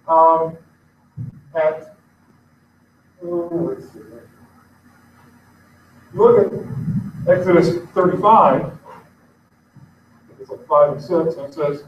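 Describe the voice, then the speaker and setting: A man giving an informal address to his congregation, earnest and conversational, pausing now and then to find his place. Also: sits high, a little hiss or hum. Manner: earnest, conversational, pauses to look things up. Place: synagogue sanctuary. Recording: echoey, distant, dull live stream.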